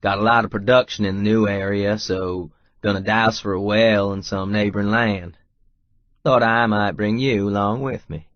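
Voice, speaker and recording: A man's voice: fairly low pitch, fairly even intonation, normal speed, no echo, muffled recording, lightly acted. An actor narrating a romance audiobook as a stubborn, wry, lovestruck Texas oilman. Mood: teasing, amused. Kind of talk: first-person audiobook narration with dialogue.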